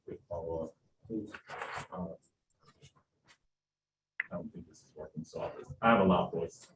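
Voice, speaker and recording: Deep-voiced man asking questions, distant. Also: moderate pace. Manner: brief, direct questions. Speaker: task force member. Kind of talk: questioning at a public meeting. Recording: distant mic, faint noise.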